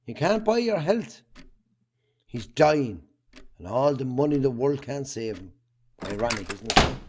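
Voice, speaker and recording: A man's voice: medium pitch, lively intonation, measured pace, slight background noise. A man acting a bitter, cantankerous Irish farmer in an amateur drama, curt and sarcastic. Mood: bitter musing.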